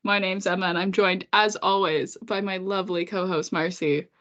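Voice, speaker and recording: A woman co-hosting a casual podcast, congested and sniffly with a cold, chatting quickly with frequent laughs and sarcastic asides. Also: mid-range voice, steady tone, fairly bright tone. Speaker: podcast co-host. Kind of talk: casual chat about hockey.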